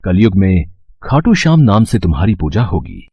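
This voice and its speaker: A man voicing a calm, shrewd, majestic god in a mythological story, with measured, formal speech. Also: sits low, husky, no room sound, dull audio, full character voice. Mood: solemn blessing. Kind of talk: scripted mythological storytelling drama.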